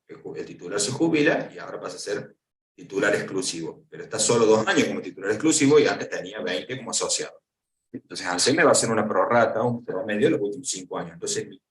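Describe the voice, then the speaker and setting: A man with an Argentine Spanish accent giving a conference lecture, explaining step by step and posing questions to answer himself. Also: fairly low pitch. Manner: didactic, asks and answers own questions. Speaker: lecturer on pension law. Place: lecture hall. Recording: echoey hall, voice from far off.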